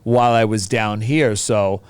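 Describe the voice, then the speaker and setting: Casting: male podcast guest in his forties, Boston accent, crisp voice, casual and emphatic talker. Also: fairly low pitch, steady tone, measured pace, darkish tone, no echo. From Boston, USA. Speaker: teacher and hockey coach, podcast guest. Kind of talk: casual interview chat.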